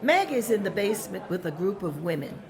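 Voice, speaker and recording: A woman's voice: mid-range voice, big pitch swings, fast talker, a crowd in the background. A woman narrating stage directions in a table read, plain and matter-of-fact.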